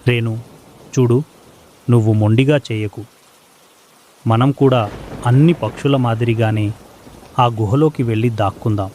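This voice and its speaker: A man's voice: mid-range voice, moderate pace, long pauses, mellow, slight background noise, lightly acted. A man voicing a worried, practical male sparrow who pleads earnestly in a children's cartoon story. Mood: urgent, pleading.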